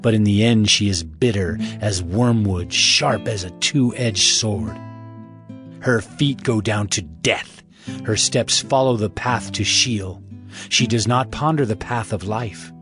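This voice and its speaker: A man playing an earnest father giving solemn, measured counsel to his sons in a dramatized scripture reading. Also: on the low side, a bit bright. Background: background music.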